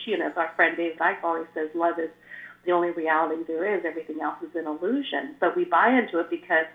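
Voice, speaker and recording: An older woman from California, a spiritual guest talking earnestly in flowing explanations, her voice a little scratchy after the flu. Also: on the low side. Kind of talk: casual chat. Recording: tinny phone-like line, echoey room.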